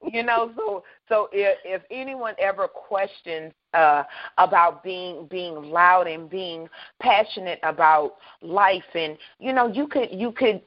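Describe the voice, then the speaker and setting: Woman hosting a faith talk radio show; booming, energetic, restarts a lot; somewhat low voice; lo-fi, dull sound. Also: a little slow. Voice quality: loud booming voice. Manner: animated, talks over herself with restarts. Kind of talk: casual faith-based radio chat. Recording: dull lo-fi online radio audio.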